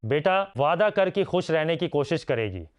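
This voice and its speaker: A man's voice: a little high, expressive, normal speed, no room sound, muffled recording, close to an everyday voice. A man playing a firm, earnest middle-aged father in a cartoon moral story, serious and paternal. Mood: tender.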